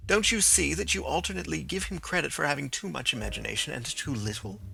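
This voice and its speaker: A man's voice: a little high, fast talker, creaky, sharp tone, faint music behind, heavily acted. A man voicing a confident, amused detective. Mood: assured.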